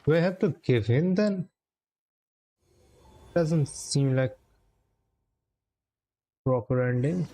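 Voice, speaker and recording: A man's voice: on the high side, slow, pauses often, mellow. A man streaming a video game, giving casual commentary as he plays. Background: game music.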